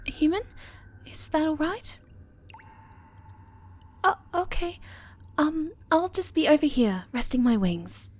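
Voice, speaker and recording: A woman playing a small, sweet, timid moth girl in an ASMR fantasy roleplay, breathy and hesitant, politely stammering. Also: sing-song, takes it slowly, pauses often, darkish tone, dry recording, full character voice. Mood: shy, grateful. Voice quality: high, breathy.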